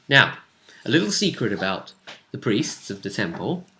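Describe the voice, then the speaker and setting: A man with a very bright, forward voice talks as the game master of a tabletop role-playing game. His pitch sits in an ordinary male range. He recaps the session casually, rambling along and acting out bits of dialogue as he tells it. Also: very animated, slow. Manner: rambling, acts out characters' lines as he recounts.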